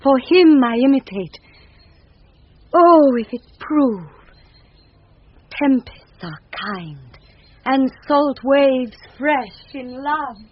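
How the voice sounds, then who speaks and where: A woman's voice: high voice, expressive, extremely slow, pauses often, full character voice. An actress playing an anxious young noblewoman disguised as a youth, speaking earnest formal verse in a classic Shakespearean radio drama. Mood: hopeful wonder. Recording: dull, band-limited 1970s radio recording.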